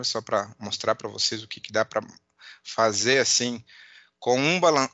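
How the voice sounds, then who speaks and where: A middle-aged man with a southern Brazilian border accent touched by Uruguayan, giving an informal online presentation in a halting way, repeating words and checking in often. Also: medium pitch, pushed and bright, dry recording, dull audio. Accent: southern Brazilian border accent with Uruguayan influence. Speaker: conference speaker, accountant and data analyst. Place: at home, indoors.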